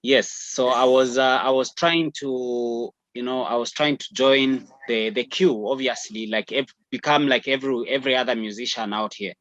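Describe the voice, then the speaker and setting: A man's voice: mid-range voice, fairly even intonation, moderate pace, few pauses, fairly bright tone, dry recording. Reflective man on a lo-fi call. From Zambia. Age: 30s. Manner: reflective, frequent "you know" fillers. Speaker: musician guest. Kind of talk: remote interview conversation. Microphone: video or phone call.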